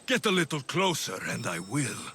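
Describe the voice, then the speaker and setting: An actor playing a wry, defiant young ancient mercenary in a video game. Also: male voice, a little high, very animated, moderate pace, very noisy. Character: gruff, sardonic young male ancient Greek mercenary. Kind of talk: video game character acting.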